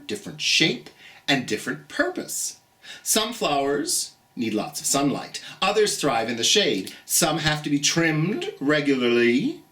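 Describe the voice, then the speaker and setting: A children's storytime host reads aloud in an expressive, encouraging way, speaking directly to young listeners. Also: mid-range voice. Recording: roomy, echoey sound.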